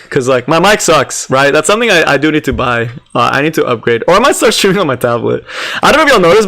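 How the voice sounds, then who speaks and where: Raspy-voiced man, chatty game streamer. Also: on the high side. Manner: chatty, answers chat, many "like" fillers; deliberately subdued, near monotone. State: raspy, strained voice. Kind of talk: casual live-stream patch review.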